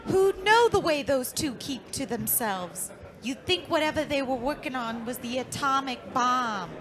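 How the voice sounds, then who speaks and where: A woman's voice: high-pitched, animated, a little slow, a bit bright. A woman playing a blunt, gossipy small-town post office clerk with a folksy manner in an old-time radio drama. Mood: gossipy, cautioning.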